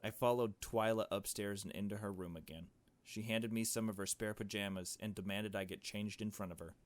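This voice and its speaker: A man's voice: fairly low pitch, fairly even intonation, brisk, no echo, close to an everyday voice. A man voicing a curious, earnest small girl who narrates and asks questions in a visual novel game. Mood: reflective. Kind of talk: visual novel dialogue read aloud.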